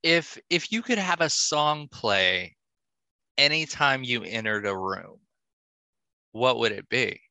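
A man works as a podcast host, putting casual questions to a guest. His voice is bright and close with a dry sound, and his pace is slow. He sounds encouraging and keeps his responses short. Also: mid-range voice, expressive, vocal fry, dry recording. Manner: encouraging, brief approving replies.

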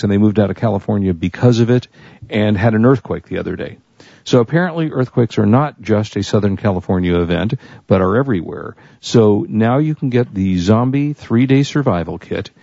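Low gravelly American male radio host. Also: warm tone, no echo, highs cut off. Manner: jokey, teasing. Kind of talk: casual radio banter.

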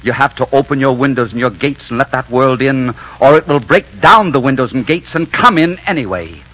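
Commanding conquistador, husky, dramatic swings. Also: male voice, mid-range voice, lively intonation, a little fast, fairly bright tone. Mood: fervent, imperious. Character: commanding, lovestruck Spanish conquistador, fervent and imperious. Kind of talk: 1940s radio drama acting. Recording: dull 1940s radio recording.

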